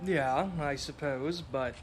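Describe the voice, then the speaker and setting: A man's voice: medium pitch, takes it slowly, a little hiss or hum, close to an everyday voice. A man playing a dry, sceptical adventurer who needles his companion in a tabletop radio play.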